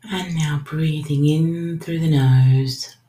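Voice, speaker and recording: Very low, slow-speaking woman guiding breathwork. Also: fairly even intonation, mellow. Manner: soothing, unhurried, trailing into whispers. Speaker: breathwork meditation guide. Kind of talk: guided breathing meditation, spoken instructions. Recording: roomy, echoing sound.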